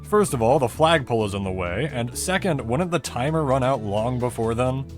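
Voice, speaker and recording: Male video narrator, animated intonation, scripted explainer style, conversational, dry asides, music behind. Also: mid-range voice, normal speed. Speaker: video creator narrating a technical game explainer. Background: music bed under the voice-over.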